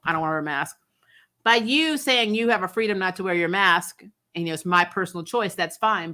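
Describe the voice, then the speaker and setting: A woman's voice: lowish voice, sharp tone. A woman hosting a recap show, giving casual, opinionated fan commentary in a blunt, conversational way.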